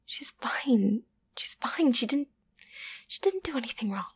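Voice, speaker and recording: A woman voicing an obsessive, lovesick young yandere best friend in an ASMR roleplay, halting and intimate, often slipping into a whisper. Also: a little high, lively intonation, dry recording, full character voice. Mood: hushed, confessional. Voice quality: breathy, often dropping to a whisper. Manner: halting, intimate, wavering between tender and agitated. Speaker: voice actor.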